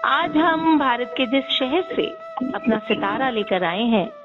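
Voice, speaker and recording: Host: woman radio presenter, fairly high voice, polished, thin phone-like sound. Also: animated, a little slow. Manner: polished, frequent affirming interjections. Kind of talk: radio interview hosting. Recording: tinny phone-like radio sound, faint music.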